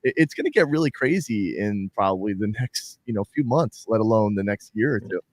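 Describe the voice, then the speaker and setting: A man's voice: fairly low pitch, lively intonation, a little fast, no room sound. A man co-hosting a casual podcast chat, talking in long rambling, enthusiastic runs.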